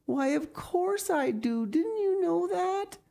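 A woman's voice: very high-pitched, animated, slow, creaky, mellow, voice pushed up, full character voice. A woman voicing a sad, devoted young girl in a storybook, a loyal best friend who speaks plainly and earnestly. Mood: surprised affection. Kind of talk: audiobook character reading.

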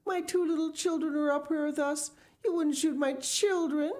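A woman voicing an anxious, emotional mother in a storybook, imploring in shrill exclamations. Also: fairly even intonation, moderate pace, darkish tone, close to an everyday voice. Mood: desperate pleading. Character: anxious, emotional mother pleading for her family.

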